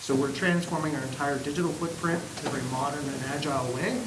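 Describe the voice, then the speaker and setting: A man's voice: on the high side, steady tone, fast talker. A man, a city IT director, explaining step by step on a panel. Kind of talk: panel discussion. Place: university event hall. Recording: echoey room with hiss.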